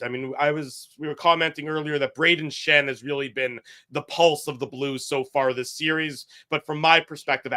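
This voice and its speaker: A man's voice: a little high, pushed and bright. A man co-hosting a sports talk show, putting long wind-up questions to his guest. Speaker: co-host.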